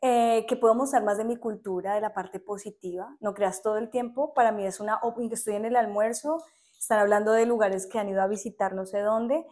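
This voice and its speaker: A young Colombian woman in casual conversation, with a Colombian accent. She tells her stories in a lively, chatty way and laughs often. Her voice sits at a middle pitch. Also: brisk. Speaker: guest teacher. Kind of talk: casual podcast interview.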